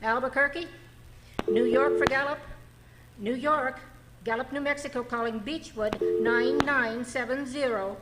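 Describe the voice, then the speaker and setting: An actress playing a formal, clipped, detached long-distance telephone operator in an old-time radio drama. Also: female voice, high-pitched, steady tone, a little slow, roomy sound, heavy background noise. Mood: businesslike.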